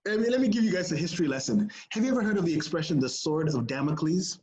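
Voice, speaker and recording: A man co-hosting a casual sports podcast, animated and laughing often, with plenty of fillers. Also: fairly high pitch, rapid-fire. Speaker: podcast co-host.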